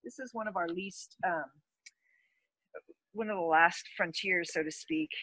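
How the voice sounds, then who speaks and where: A woman's voice: fairly low pitch, measured pace, fairly bright tone, husky, no echo, poor audio. A woman giving a casual tour of her backyard garden, explaining plants in a friendly, explanatory way.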